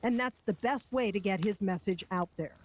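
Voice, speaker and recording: A woman's voice: medium pitch, normal speed, slight background noise. A woman with an American accent reading scripted narration as a television news reporter.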